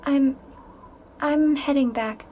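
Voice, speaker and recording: High, hesitant, melancholy girl. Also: slow, dark tone, a little hiss or hum. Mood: hesitant, subdued. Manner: hesitant, subdued. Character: reserved, melancholy young girl among her comrades. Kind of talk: video game voice acting.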